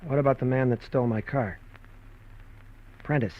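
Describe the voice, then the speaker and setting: An actor playing a nervous young husband in a 1950s radio drama, speaking in a hesitant, halting way. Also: male voice, medium pitch, natural voice. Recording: lo-fi 1950s radio broadcast with faint hiss.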